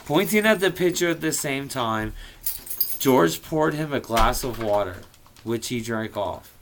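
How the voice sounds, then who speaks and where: A man's voice: medium pitch, expressive, unhurried, a bit bright, a little hiss or hum. A man reading a story aloud informally, with a theatrical, irreverent manner and profane casual asides. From US Midwest. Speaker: amateur audiobook reader.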